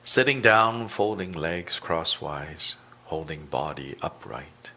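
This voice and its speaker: Very deep-voiced man leading slow meditation. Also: expressive, bright, forceful tone. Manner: deliberate, with long pauses between short phrases. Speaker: meditation teacher. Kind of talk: guided meditation instruction.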